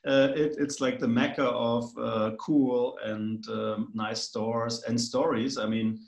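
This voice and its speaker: A man's voice: medium pitch, fairly even intonation, slow, gravelly, reverberant. A man with a German accent, a guest in a casual conversation, talking in a rambling, hesitant way with many fillers.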